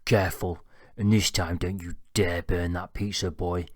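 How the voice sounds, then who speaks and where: A man voicing a crude, abusive, bedridden old father, gruff and demanding. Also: sits low, little pitch movement, moderate pace, no room sound. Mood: leering. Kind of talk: audiobook character voice.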